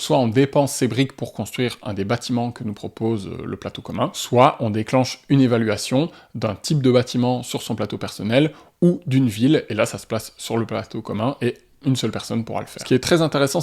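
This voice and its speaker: Gravelly-voiced man reviewing games. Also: medium pitch, a little mellow. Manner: enthusiastic, frequent "euh" fillers. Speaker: board game channel host. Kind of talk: casual review monologue to camera.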